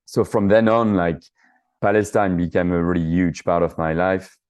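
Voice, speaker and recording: A man's voice: deep voice, fairly even intonation, normal speed, darkish tone, clean tone. A middle-aged man from the south of France with a French accent, a guest answering interview questions in a hesitant way, with many fillers and restarts. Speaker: guest, author and activist.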